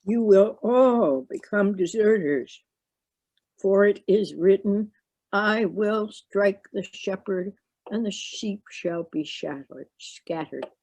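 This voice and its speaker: A woman reading the part of Jesus as a grave, sorrowful teacher in a solemn, deliberate scripture reading. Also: mid-range voice, darkish tone, no echo, low-quality recording.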